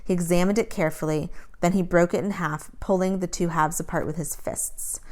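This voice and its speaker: A woman reading a children's novel aloud as an expressive story narrator. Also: on the low side, fairly quick. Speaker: story reader.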